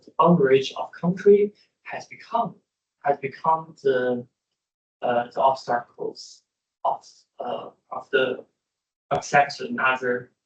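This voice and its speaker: A student speaks English, stopping often and restarting his phrases as he works out his question. The room around him adds a clear echo. His voice sits at a middle pitch. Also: muffled recording. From China. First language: Chinese. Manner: hesitant, many fillers and restarts.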